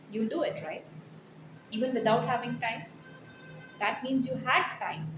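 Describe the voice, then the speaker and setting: A roomy, echoing recording carries a woman's fairly high voice. She presents like a corporate trainer, reading prepared text aloud and urging the listener on with earnest emphasis. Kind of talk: scripted blog read aloud with personal asides. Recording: roomy echo, faint background noise.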